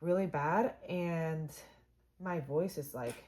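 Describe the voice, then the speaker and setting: A woman hosting a sewing tutorial, chatty and upbeat with lots of fillers, her voice hoarse and cutting in and out. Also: deep voice, slow pace, darkish tone. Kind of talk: casual how-to demonstration.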